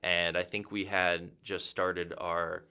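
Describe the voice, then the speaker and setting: Deep-voiced young man with a crisp, bright tone. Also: little pitch movement. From Massachusetts. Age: 20s. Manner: measured and thoughtful, frequent "um" and "you know". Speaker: radio play-by-play broadcaster. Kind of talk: interview answers.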